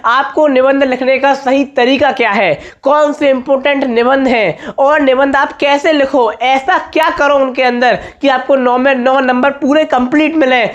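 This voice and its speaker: A man with a slightly hoarse voice, giving an informal exam-tips lesson as a teacher, emphatic and repetitive, often checking that students follow. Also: very high-pitched, fairly even intonation, moderate pace, few pauses. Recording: dull, muffled lo-fi audio.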